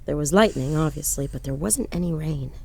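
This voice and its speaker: A woman's voice: deep voice, a little fast, creaky, a little mellow, husky, full character voice. A woman playing a haunted, defiant widow driving alone at night in an audio horror drama, dramatic, brooding and wry. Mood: uneasy, hushed. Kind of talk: audio horror drama acting with first-person narration.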